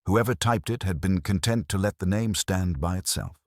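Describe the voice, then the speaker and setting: A man's voice: sits low, moderate pace, darkish tone, no room sound, studio quality. A man narrating a detective audiobook in a wry, wisecracking first-person style.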